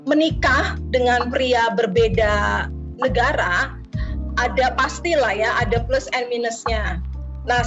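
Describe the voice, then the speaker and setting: A middle-aged woman from Jakarta with a light Betawi accent, a university lecturer answering interview questions in a measured way, often pausing on eh. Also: fairly high pitch, fairly bright tone, muffled recording. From Jakarta, Indonesia. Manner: measured, many hesitations with eh. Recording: music playing underneath.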